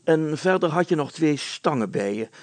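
A man's voice: a little high, expressive, normal speed, dry recording, voice pushed up, close to an everyday voice. A man voicing a pompous, jovial village mayor who speaks emphatically and hems solemnly in a storybook reading. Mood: official, blustery.

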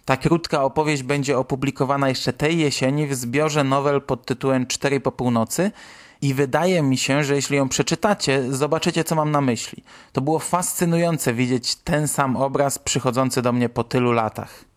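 A man's voice: mid-range voice, fairly even intonation, moderate pace. A man hosting an audio drama show, presenting and narrating from a prepared script in Polish storytelling fashion. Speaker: host and narrator of a radio play podcast.

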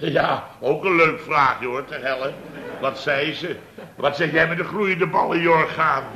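An actor playing a blustering, indignant boss of a building firm in a Dutch radio comedy, grumbling at length and sputtering with exasperation. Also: male voice, fairly high pitch, steady tone, unhurried, pushed and bright. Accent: Netherlands Dutch. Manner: exasperated, sputtering, long-winded comic grumbling. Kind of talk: Dutch radio comedy acting around 1970. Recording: hissy old radio recording.

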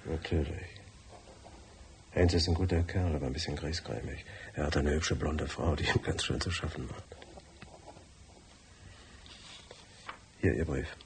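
Anxious wealthy client, very low, hushed and formal, radio-drama delivery, noisy recording. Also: male voice, fairly even intonation, moderate pace. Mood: grateful, guarded. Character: worried well-off husband hiring a private detective. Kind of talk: German radio drama acting.

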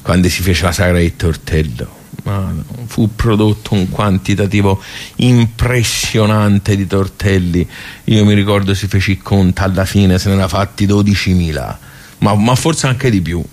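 Low-voiced, rambling old Tuscan club regular, played by a man. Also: a little mellow, natural voice. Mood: wry reminiscing. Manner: colloquial, rambling, halting word searches, rhetorical asides. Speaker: stage actor. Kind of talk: theatrical radio soliloquy, conversational.

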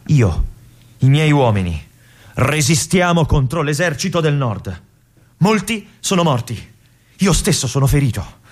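An actor playing a brooding male army lieutenant in a theatrical monologue, with an intense, hushed delivery and phrases that drop to a whisper. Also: mid-range voice, slightly rough. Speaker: stage actor.

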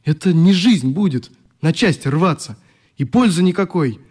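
An actor playing a troubled, conscientious and weary young youth leader in a radio play. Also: male voice, on the high side, expressive, normal speed, a little mellow, husky. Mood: resigned.

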